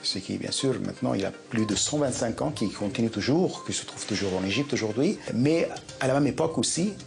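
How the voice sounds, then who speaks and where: A man's voice: medium pitch, lively intonation, fairly quick, gravelly, music in the background. A man interviewed for a TV report, speaking hesitantly and correcting himself. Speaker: interviewee about the press. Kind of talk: documentary interview.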